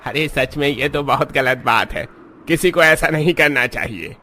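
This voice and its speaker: A woman's voice: low-pitched, normal speed, pushed and bright, slight background noise, pitched below the performer's own voice. A woman voicing an agitated, complaining village man in a cartoon. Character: sick coughing village man.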